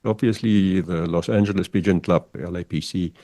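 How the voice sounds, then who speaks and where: Deep gravelly older man, Afrikaans native. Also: steady tone, dark tone, no room sound, clean studio sound. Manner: measured, halting with many restarts. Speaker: guest, pigeon figurine artist. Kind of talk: podcast interview over a call.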